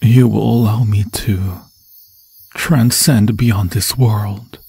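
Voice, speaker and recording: Husky, whispery undead forest demon. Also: male voice, fairly low pitch, steady tone, mellow, heavily acted. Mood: adoring, possessive. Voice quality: husky, breathy, often dropping to a whisper. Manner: slow, theatrical, intimate, crooning endearments in archaic formal phrasing. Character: obsessed undead forest demon sorcerer, seductive, possessive, menacing. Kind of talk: ASMR fantasy roleplay.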